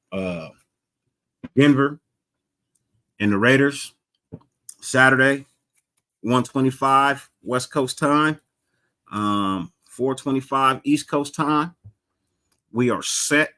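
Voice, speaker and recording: A man hosting a casual live sports podcast, greeting chat viewers by name and talking loosely with a mild stutter and repeated words. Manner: gets higher and more animated when excited. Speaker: podcast host.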